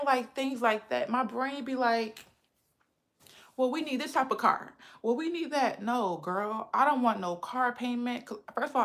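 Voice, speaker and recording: A chatty woman talks straight to her livestream viewers. Her fairly high voice carries a gravelly fry and a slight lisp. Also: fairly bright tone. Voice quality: says she has a lisp. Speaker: livestream host. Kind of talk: casual livestream chat with viewers.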